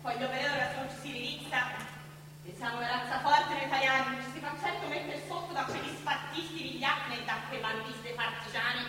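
High-voiced haughty Tuscan busybody, echoey. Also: female voice, steady tone, quick pace, pushed and bright. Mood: pompous, indignant. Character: haughty, nosy fascist neighbour woman in wartime Florence. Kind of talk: stage drama acting. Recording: echoey noisy hall, miked from far off.

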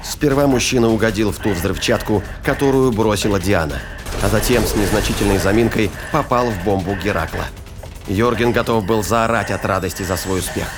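Low-voiced male audio drama narrator. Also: a little fast. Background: music and sound effects.

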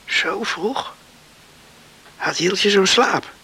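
A man's voice: high voice, very slow, pushed and bright, breathy edge, heavily acted. An actor playing a hot-headed young village man devoted to his mother in a Dutch radio play. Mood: quieter, grumbling. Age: young adult.

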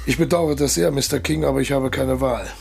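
An actor in a radio drama playing a suave, cold-blooded traitor colonel, courteous and condescending, with a husky, gravelly voice. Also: male voice, mid-range voice, fairly even intonation, brisk, a little mellow. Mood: mock regret.

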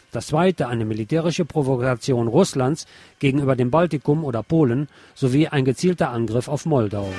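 A man's voice: medium pitch, darkish tone, no room sound. A man presenting radio news, reading reports in a scripted style and slipping in sardonic asides. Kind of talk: reading news bulletins with wry commentary.

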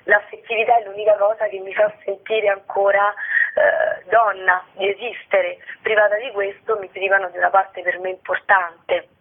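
A woman's voice: mid-range voice, flat delivery, normal speed. A woman explains her work in a radio interview, choosing her words reflectively. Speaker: theatre director being interviewed. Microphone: telephone. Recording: narrow tinny phone line.

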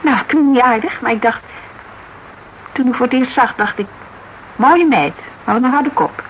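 An actress playing a lively, shrewd young detective's associate in a Dutch radio crime drama, talking colloquially and emphatically, as if thinking aloud. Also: female voice, a little high, normal speed, highs cut off, a little hiss or hum, close to an everyday voice. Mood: frank, musing.

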